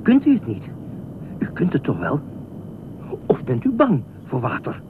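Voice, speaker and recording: A man's voice: on the high side, huge pitch swings, measured pace. A man in a radio play acting an eccentric, talkative lifeguard, anxious and pleading, rambling and repeating himself. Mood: pressing, pleading. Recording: tinny band-limited radio play, faint noise.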